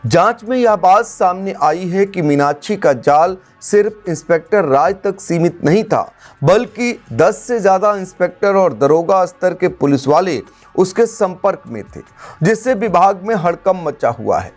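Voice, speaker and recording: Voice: medium pitch, expressive, moderate pace. A news narrator reading a scripted crime report in a dramatic, emphatic manner. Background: background music.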